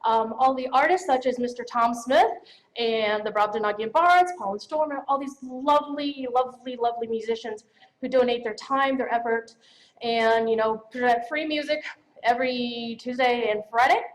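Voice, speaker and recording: A woman's voice: a little high, normal speed, low-quality recording. A woman giving an informal, effusive acceptance speech at an awards event. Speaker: podcast host accepting an award.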